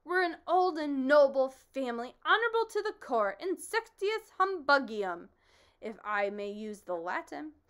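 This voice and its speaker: A woman voicing a boastful, pompous old male humbug bug who blusters loudly. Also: high-pitched, big pitch swings, measured pace, pitched above the performer's own voice, exaggerated voice. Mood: blustering. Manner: blustering, grandiose, loud.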